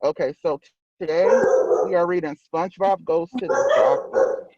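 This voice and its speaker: A man's voice: a little high, normal speed, muffled recording, a crowd in the background. A man hosting a casual story time, reading aloud and putting questions to his audience from inside a car.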